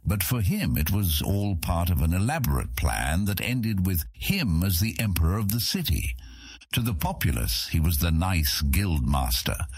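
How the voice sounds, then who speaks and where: A man's voice: deep voice, big pitch swings, normal speed, husky. A man narrating a fantasy audiobook in a scripted, dramatic storytelling manner.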